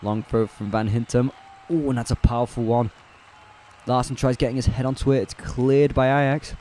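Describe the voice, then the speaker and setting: A man calling a football match live on a fan watch-along stream, chatty and relaxed, correcting himself as he goes and answering viewers' chat. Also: medium pitch, moderate pace, slight background noise. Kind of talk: casual live play-by-play commentary.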